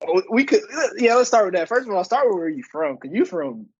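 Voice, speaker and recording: Young male co-host, slightly high voice, loose laughing banter, lo-fi sound. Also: very animated, fairly quick, a little mellow, husky, no room sound. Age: young adult. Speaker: podcast co-host. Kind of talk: casual chat.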